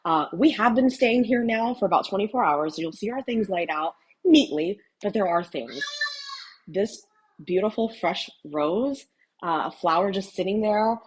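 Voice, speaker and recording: A woman's voice: medium pitch, animated, normal speed, rough recording. A middle-aged woman from Houston, a real estate broker, giving an enthusiastic, admiring tour of a hotel suite indoors, recorded on a handheld camera. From Houston, USA. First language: Cantonese. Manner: enthusiastic, admiring, mildly rambling with fillers. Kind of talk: hotel room tour vlog.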